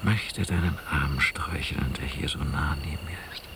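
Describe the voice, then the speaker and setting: An actor playing a hesitant, affectionate man in quiet, intimate radio drama dialogue, with a gravelly voice. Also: a bass voice, moderate pace. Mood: tender, evasive. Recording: noisy, hissy old cassette radio play.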